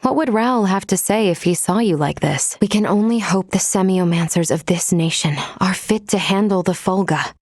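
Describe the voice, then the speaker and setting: A woman voicing a composed, dutiful android crew member in a video game, speaking in a measured, formal, precise way. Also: medium pitch, a little mellow, no echo, clean studio sound, natural voice. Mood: calm, measured. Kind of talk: scripted video game character lines.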